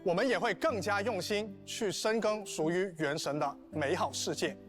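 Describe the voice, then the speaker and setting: A man's voice: high voice, normal speed. A man hosting a game broadcast, presenting formally on behalf of the publishing team. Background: soft guitar music.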